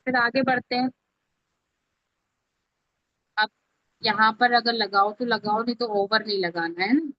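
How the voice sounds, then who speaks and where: A woman's voice: fairly high pitch, measured pace, pauses often, no room sound. A woman instructor giving an informal step-by-step tutorial, often checking that listeners are following along. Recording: dull, lo-fi, dry close audio.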